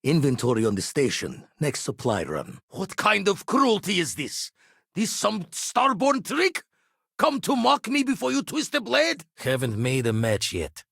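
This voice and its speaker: An actor playing a gruff, world-weary former space pirate in a video game, loyal and watchful, speaking in clipped folksy phrases with a husky voice. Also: male voice, mid-range voice, animated, moderate pace, few pauses, darkish tone, dry recording, studio quality. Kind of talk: video game voice acting, dramatic dialogue.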